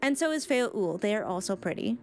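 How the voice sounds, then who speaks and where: A woman's voice: mid-range voice, big pitch swings, fairly quick, creaky, faint music behind. A woman streamer commenting casually and fondly on a game, swearing now and then. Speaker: streamer reacting to game cutscenes.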